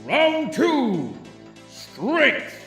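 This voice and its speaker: A man's voice: very high-pitched, huge pitch swings, drawn-out words, a bit bright, exaggerated voice. A man playing a showy contest announcer, calling out rounds theatrically in a fan audio drama. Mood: grand. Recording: echoing voice over faint music.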